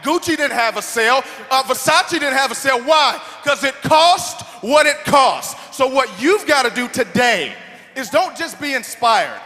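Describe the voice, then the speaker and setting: A young man giving a motivational speech from a stage, leading the crowd in call-and-response and often shouting. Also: extremely high pitch, lively intonation, moderate pace. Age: 20s. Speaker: motivational speaker. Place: large event hall. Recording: hall reverb, faint crowd.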